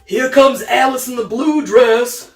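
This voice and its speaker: A woman storyteller becomes an urgent street lookout from a picture book. The voice leaps high and loud, calling out through a roomy echo. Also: a little slow, far from the mic, faint music behind. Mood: urgent warning.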